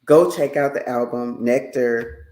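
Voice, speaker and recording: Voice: mid-range voice, measured pace, a little mellow. An effusive podcast host chatting casually with a guest and speaking straight to the listeners. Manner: effusive, addresses listeners directly. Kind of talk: casual interview chat. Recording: roomy, echoey sound.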